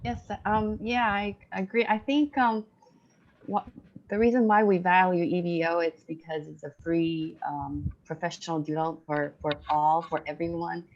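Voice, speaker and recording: A woman's voice: fairly low pitch, little pitch movement, normal speed. A woman from Taiwan with a Taiwanese accent, native in Chinese, speaking hesitantly in an informal online discussion among educators over dull call audio. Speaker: online teacher-training participant and educator. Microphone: online video call.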